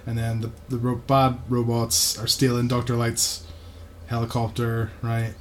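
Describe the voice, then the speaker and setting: A man's voice: mid-range voice, completely flat delivery, unhurried, slight background noise. A man co-hosting a podcast, casually recapping an episode in a mocking, sweary way and often checking in with "right?".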